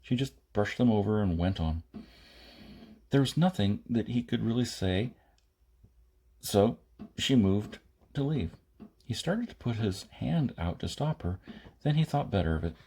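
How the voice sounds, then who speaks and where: A man reading a science fiction novel aloud as an amateur audiobook narrator, in short phrases with pauses and the odd stumble. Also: on the low side, lively intonation, dark tone, slightly rough. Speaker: audiobook reader.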